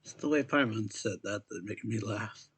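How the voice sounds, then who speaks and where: A man's voice: fairly low pitch, normal speed, fairly bright tone, husky. A man giving informal let's-play reactions, dropping short muttered comments as he plays. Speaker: gaming video host.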